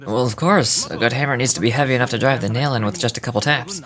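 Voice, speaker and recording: A man's voice: medium pitch, quick pace, a crowd in the background, natural voice. Man playing a plainspoken, weary working family man. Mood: encouraged. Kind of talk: scripted game character dialogue.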